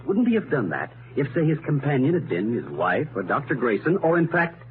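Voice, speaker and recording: An American actor playing a confident, brilliant amateur detective in 1930s radio mystery style, explaining things in a deliberate, methodical, emphatic way. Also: male voice, medium pitch, huge pitch swings. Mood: building to reveal. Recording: noisy, narrow-band 1930s radio recording.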